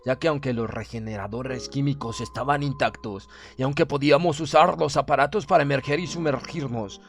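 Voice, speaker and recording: A man reading a horror story as a dramatic audiobook narrator, in the role of a stern German submarine officer, with measured, theatrical delivery. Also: medium pitch. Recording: narration over clear background music. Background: music.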